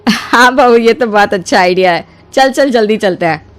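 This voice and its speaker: A woman voicing a trusting, soft-hearted older mother-in-law in a cartoon moral story, with an earnest, expressive delivery. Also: on the high side, moderate pace, natural voice. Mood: eager, kindly. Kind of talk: animated Hindi moral story dialogue. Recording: music behind the voice. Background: music.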